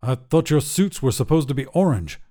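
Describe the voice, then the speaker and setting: A man's voice: medium pitch, animated, moderate pace, mellow, lightly acted. A man voicing a bewildered, stubborn male space traveler in a story, earnest and protesting in manner. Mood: puzzled.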